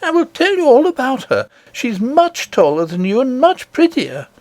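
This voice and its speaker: A man's voice: very high-pitched, wildly swooping pitch, moderate pace, darkish tone, dry recording, voice pushed up, exaggerated voice. A man voicing an earnest, indignant little princess in a read-aloud storybook, eager and insistent in a childlike way.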